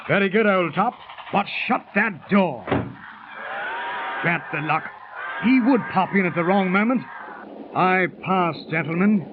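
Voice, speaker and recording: An actor playing a chatty, superstitious English gambler with an upper-class English accent, in a 1930s radio drama. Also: male voice, high-pitched, a little slow, long pauses, background noise. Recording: tinny 1930s radio transcription.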